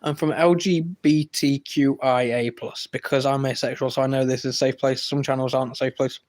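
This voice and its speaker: A man's voice: mid-range voice, fairly even intonation, brisk, darkish tone. An English man hosting a live stream, reading chat aloud and trading brash, teasing banter with viewers. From England. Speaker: livestream host reading chat.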